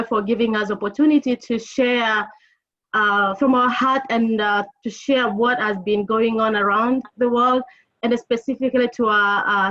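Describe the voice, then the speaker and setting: A woman from the Democratic Republic of Congo giving an earnest webinar presentation, speaking with frequent pauses and fillers. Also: a little high, fairly bright tone. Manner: earnest, grateful, halting with fillers. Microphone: video call. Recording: lo-fi video call audio.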